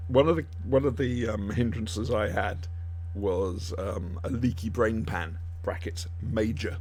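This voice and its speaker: A man's voice: lowish voice, unhurried, a little mellow, husky. A man hosting a podcast in casual, jokey chat, often rambling and losing his thread. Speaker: podcast host.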